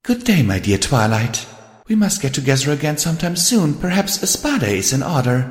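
Storybook unicorn lady, played by a man: refined, motherly, lifted high and lilting, in long reverb. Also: very animated, brisk. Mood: light, affectionate. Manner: genteel, soothing, affectionate, calls listener dear and darling.

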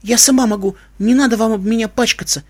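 A man's voice: sits high, fairly even intonation, quick pace, pitched above the performer's own voice. Man voicing a plaintive, timid young mare. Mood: meek, plaintive. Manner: plaintive, babbling meekly. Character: timid, pleading, frail young female pony slave.

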